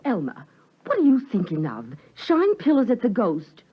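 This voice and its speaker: A woman's voice: on the high side, huge pitch swings, moderate pace. An actress playing a bossy, indignant wife and mother, commanding and exasperated, in a 1950s radio drama. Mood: scolding. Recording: dull, noisy 1950s radio recording.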